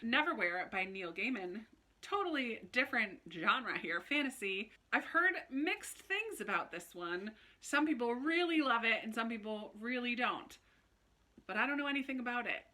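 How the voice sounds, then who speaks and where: Woman, higher-pitched, very bright voice, casual book vlog, chatty, informal. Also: animated, moderate pace. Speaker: book vlogger, channel host. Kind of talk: casual chatty video talk to viewers.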